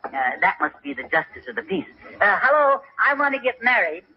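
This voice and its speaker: A man's voice: high-pitched, big pitch swings, a little fast. A man voicing a cheeky, wisecracking wooden ventriloquist dummy boy in an old radio comedy. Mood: eager. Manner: wisecracking, brash. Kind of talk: 1940s radio comedy acting. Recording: noisy, muffled old radio broadcast.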